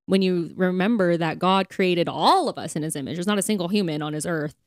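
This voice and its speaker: A woman's voice: on the low side, fairly quick, no echo, clean studio sound. A woman co-hosting a faith podcast, speaking in an earnest, conversational way. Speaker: podcast co-host. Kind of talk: casual faith podcast talk.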